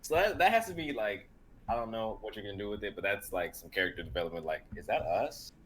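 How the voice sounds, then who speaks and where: Young man, low animated voice, casual rambling talk, faint noise. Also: fast talker. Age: young adult. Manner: rambling, thinking aloud. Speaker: actor and reader on a group livestream. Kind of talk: casual chat. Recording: noisy livestream group call.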